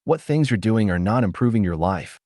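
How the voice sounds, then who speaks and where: A man reading a self-help audiobook as scripted narration, measured and instructive, recorded close in a dry space. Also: lowish voice, animated, darkish tone, dry recording.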